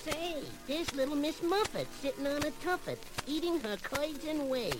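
A woman's voice: high voice, moderate pace, lightly acted, poor audio. A voice actor playing a brash, teasing male cartoon rabbit on an old children's story record, with music playing behind. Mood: amused. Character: wisecracking male cartoon rabbit, cheeky and friendly.

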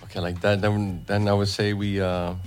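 A man in his thirties, raised in Denmark in a Filipino family, talking casually about music on a radio show, relaxed and laughing often. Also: fairly low pitch, normal speed, smooth. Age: 30s. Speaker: DJ and event promoter.

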